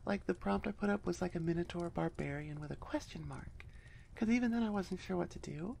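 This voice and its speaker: A woman's voice: lowish voice, a little fast, gravelly, mellow, a little hiss or hum. A woman audio roleplay creator chatting casually to her listeners up close on the mic, in a rambling, wry, self-deprecating way. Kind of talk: casual outro chat to listeners. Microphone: close microphone.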